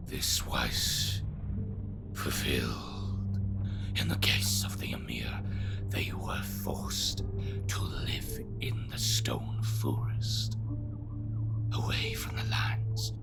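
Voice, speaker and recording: An actor playing a bitter, courtly schemer in an audio drama, speaking theatrically in a gravelly half-whisper with formal, archaic wording. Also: rumbling low, animated, drawn-out words, over loud music, heavily acted. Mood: brooding, bitter. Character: bitter, lamenting, courtly schemer bound by a bargain. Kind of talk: dramatic scripted monologue.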